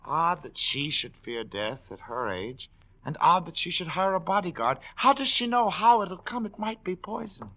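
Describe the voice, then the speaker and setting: High, sharp-voiced morbid invalid. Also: male voice, lively intonation, moderate pace, heavily acted. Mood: querulous. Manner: theatrical, eager, relishing his macabre lectures. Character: wasted, morbid invalid man obsessed with poisonous plants. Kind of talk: 1940s radio acting. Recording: dull, lo-fi 1940s radio recording.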